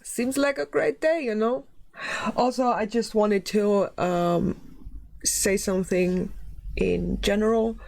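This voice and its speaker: A woman chatting to camera at an unhurried pace. She is a little under the weather and her voice sounds slightly off. She is chatty and apologetic and giggles now and then. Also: medium pitch, vocal fry, darkish tone, low-quality recording. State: slightly sick, voice a bit off. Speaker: YouTube commentary host. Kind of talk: casual talk to camera.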